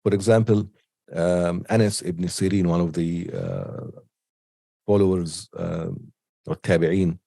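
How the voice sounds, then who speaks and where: A man giving an unscripted online lecture with a cold, halting often with ums and restarts. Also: low-pitched, lively intonation, creaky, warm tone, dry recording, clean studio sound. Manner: hesitant, many ums and restarts. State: has a cold. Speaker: teacher.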